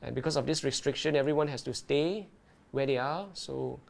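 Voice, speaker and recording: A man presenting an underwater video tutorial and Q&A live. He talks in a lively way and keeps checking in with his viewers. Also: mid-range voice, fairly quick, creaky. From Malaysia.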